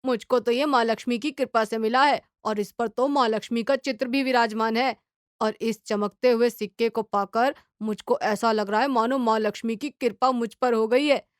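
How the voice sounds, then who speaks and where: A woman's voice: fairly high pitch, steady tone, normal speed, dry recording, clean studio sound, natural voice. A woman voicing a young village man in earnest dialogue for a moral story. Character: two young village men, friends, talking earnestly.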